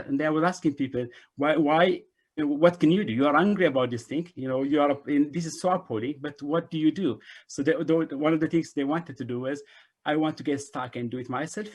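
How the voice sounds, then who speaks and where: A man's voice: fairly high pitch, normal speed, a little mellow. A middle-aged man giving a conference presentation over a video call, repeating words and phrases to drive points home. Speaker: invited speaker, refugee organization director. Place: small indoor room, remote video call.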